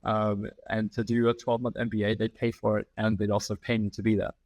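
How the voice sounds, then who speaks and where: A man in his thirties with an Australian accent, a podcast guest explaining his work in a measured way, with frequent "um" fillers. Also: on the low side, flat delivery, darkish tone, no room sound. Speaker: podcast guest, founding partner. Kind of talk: conversational interview.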